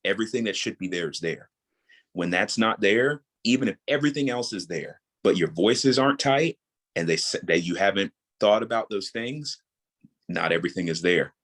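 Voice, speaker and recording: Man, thirties, deep-pitched voice, casual interview guest, explanatory, emphatic about his points. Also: normal speed, a bit bright. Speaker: audio drama creator, podcast guest.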